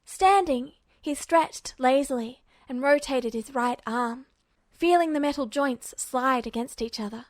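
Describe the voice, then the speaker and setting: Female fiction narrator, fairly high voice, dry recording with no room echo. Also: a little slow. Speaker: podfic narrator.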